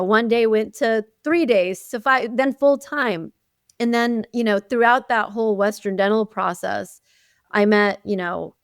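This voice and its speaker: A woman who grew up speaking Arabic talks through her career in a podcast interview, warm in her storytelling and full of little asides. Her voice is smooth and clear at a middle pitch. It sits dry and close to the mic, with no room around it. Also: dry recording. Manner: animated storytelling with frequent "you know" and laughs. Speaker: guest, chief dental officer. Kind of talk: conversational interview.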